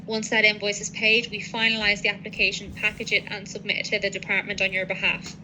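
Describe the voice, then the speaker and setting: A woman's voice: mid-range voice, completely flat delivery, fast talker, bright, forceful tone, slight background noise, low-quality recording. A woman working as a legal adviser, methodically explaining procedures to a client on a professional call. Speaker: legal adviser on citizenship applications.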